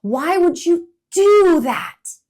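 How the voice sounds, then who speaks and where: A woman voicing an excitable, curious little male squirrel in a picture-book reading, exclamatory and childlike. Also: very slow, full character voice. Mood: excited. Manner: exclamatory, high and loud, childlike questions. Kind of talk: storybook read-aloud voice.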